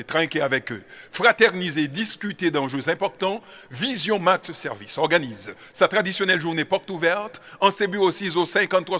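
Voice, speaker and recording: Bright, forceful male radio ad announcer. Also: on the high side. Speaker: advertisement announcer. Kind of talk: promotional radio ad read.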